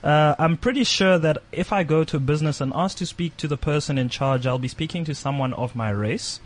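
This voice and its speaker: A man, his voice sitting a little on the high side, hosts a radio talk show. He reads a checklist aloud briskly and chats with his co-hosts between the items.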